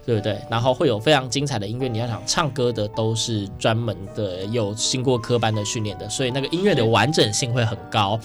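A man's voice: medium pitch, fast talker, few pauses, music in the background. A man with a Taiwanese accent hosting a casual interview, chatty and often checking for agreement. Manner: chatty, often seeks agreement with tag questions. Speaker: radio show host.

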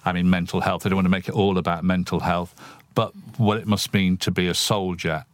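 A man with a deep voice talks as a guest poet in an interview. He is thoughtful and analytical, reflecting as he speaks. The recording is close and dry. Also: little pitch movement, brisk, dry recording.

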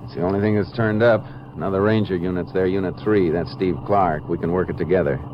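An actor playing a steady, terse Texas Ranger investigator in a 1950s western radio drama, speaking matter-of-factly. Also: male voice, deep voice, fast, noisy background, lightly acted. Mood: brisk, official. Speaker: lead actor and narrator. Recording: dull vintage 1950s radio recording.

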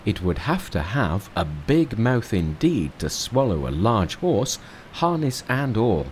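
A man's voice: on the low side, very animated, unhurried, a little hiss or hum. A man voicing a timid, fretful old monk who worries and complains. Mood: doubting. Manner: plaintive, worried.

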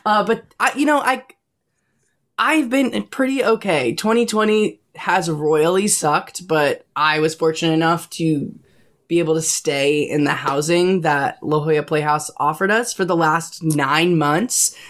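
Young man, high timbre, bubbly, chatty, lots of fillers, guest on a call. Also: unhurried, a bit bright. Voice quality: high-timbred voice often taken for a woman's on the phone. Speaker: Broadway actor guest. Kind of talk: casual podcast chat.

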